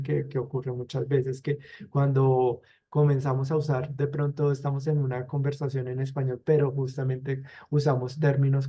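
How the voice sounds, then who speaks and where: A man's voice: mid-range voice, warm tone. Hesitant man giving academic feedback. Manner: hesitant, many fillers. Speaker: university teacher giving feedback.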